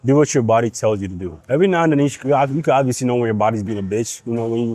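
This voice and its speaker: A man's voice: medium pitch, racing, dark tone, no echo. A young man with a cold and a nasal voice, talking bluntly to the camera between sets in a gym. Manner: blunt, profane, pep-talking. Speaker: fitness vlogger. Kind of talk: casual gym vlog talk.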